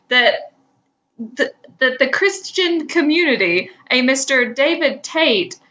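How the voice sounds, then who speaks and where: A young woman co-hosting a podcast in casual chat, with hesitant, halting phrasing. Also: high-pitched, sharp tone, lo-fi sound. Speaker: podcast co-host.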